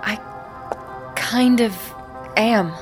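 A woman's voice: on the high side, very slow, over music. A woman playing a mysterious, beguiling young woman in an audio horror drama, guarded and resigned in manner. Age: young adult.

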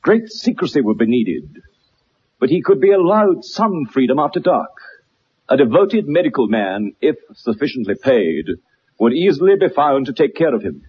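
An actor playing a self-assured, eloquent gentleman detective in a radio drama, with a formal, lecturing manner. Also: medium pitch, sing-song, moderate pace, husky, muffled recording. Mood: assured, explanatory.

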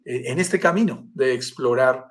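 A man's voice: medium pitch, normal speed. A man with a Colombian accent, presenting a live stream over a video call in an enthusiastic, welcoming and persuasive way. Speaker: live stream host, sales director.

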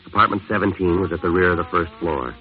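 An actor playing a tough, world-weary American jazz musician, clipped and deadpan, in a 1950s hard-boiled radio drama. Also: male voice, sits low, fast talker, close to an everyday voice. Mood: deadpan, brooding. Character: tough, world-weary male jazz musician narrating his crime story. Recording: tinny old radio recording with music.

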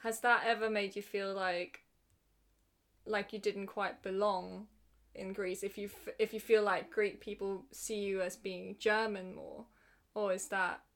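A woman's voice: medium pitch, flat delivery, a little slow. A woman hosting a podcast in an informal, chatty interview style, with a giggly manner. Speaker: podcast host. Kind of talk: casual podcast interview chat.

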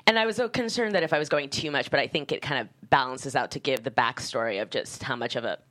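A woman screenwriter being interviewed on a casual panel, talking in a halting, rambling, conversational way. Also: very deep voice, a bit bright. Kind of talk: casual panel chat about a script.

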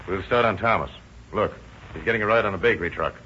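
An actor playing a shrewd, confident police officer with an American accent, methodical and authoritative, in 1930s radio drama style. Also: male voice, low-pitched, fast, pushed and bright. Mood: alert. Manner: methodical, authoritative, plain-spoken. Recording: dull, noisy old radio recording.

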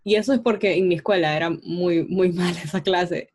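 A woman's voice: lowish voice, fairly even intonation, fairly quick, darkish tone. A young woman with a Honduran Spanish accent, reflecting thoughtfully in casual conversation. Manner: reflective, thoughtful, uses voseo and fillers.

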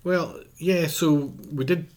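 A man's voice: a little high, steady tone, takes it slowly, a little mellow. A man, speaking earnestly as a guest on a podcast and often restarting his sentences while he gives advice. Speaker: mental health charity policy director.

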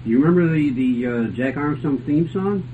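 A man's voice: fairly high pitch, lively intonation, moderate pace. An older man, a radio club panelist, reminiscing in a casual, jovial, rambling way. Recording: noisy phone-like lo-fi radio sound.

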